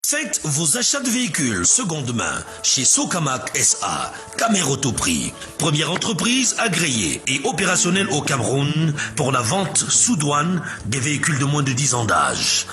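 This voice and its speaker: A man's voice: fairly high pitch, huge pitch swings, normal speed, few pauses, sharp tone, soft background music. A man reading a radio car advert in a punchy sales delivery. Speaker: ad announcer.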